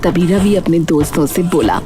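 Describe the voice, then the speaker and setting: A woman narrates a scripted moral tale in a lowish voice with animated, swinging intonation. Music plays clearly behind her as she tells the story. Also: moderate pace. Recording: background music under the voice.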